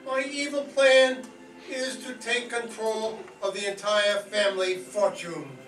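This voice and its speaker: A devious family schemer speaks with oily melodrama, played by a man. His voice is high, caught at a distance in an echoey space, as in a staged radio soap spoof. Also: fairly even intonation, measured pace, a bit bright. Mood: gloating. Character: devious, scheming male heir, oily villain. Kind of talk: radio soap opera parody acting.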